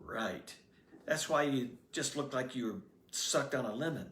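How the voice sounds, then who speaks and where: A man's voice: mid-range voice, lively intonation, a little slow, fairly bright tone, far from the mic, a little hiss or hum. A man voicing a shy, bashful teenage boy who stammers and hesitates, read aloud in an echoey room. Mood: encouraging.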